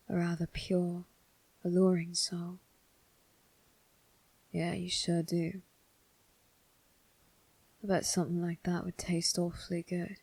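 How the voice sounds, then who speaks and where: A woman voicing a sly, predatory demon disguised as a servant in a scripted ASMR roleplay, with a breathy, whispery voice and a teasing, deliberate delivery. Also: fairly low pitch, mellow, dry recording, heavily acted, poor audio. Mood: sly, tempting. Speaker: voice actor.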